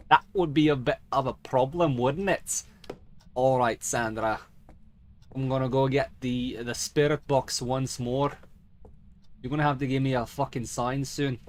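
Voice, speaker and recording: A man giving rambling, sweary, theatrical video game commentary in a put-on mock dwarf accent. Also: mid-range voice. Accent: put-on mock accent he calls a dwarf accent. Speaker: game streamer.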